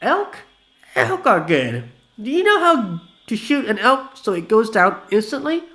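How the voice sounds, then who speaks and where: Man reading as a drunk young hothead, high and loud, bellowing threats, very animated delivery. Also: a little slow, full character voice. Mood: whiny, boastful. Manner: loud, yelling, high strained voice. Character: drunken hot-tempered young man with a shotgun.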